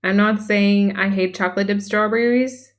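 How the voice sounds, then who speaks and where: A woman's voice: mid-range voice, steady tone, moderate pace. A young woman from Denmark, chatting casually as she reads out and answers viewer messages on a stream. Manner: chatty, reacts aloud to chat. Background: faint music.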